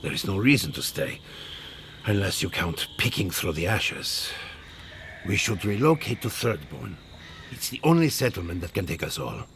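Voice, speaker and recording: An actor playing a measured, dry-witted scholar in a fantasy video game, speaking formally and articulately. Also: male voice, deep voice, very animated, a bit bright, slight background noise, heavily acted. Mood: grave, persuasive. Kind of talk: video game dramatic acting.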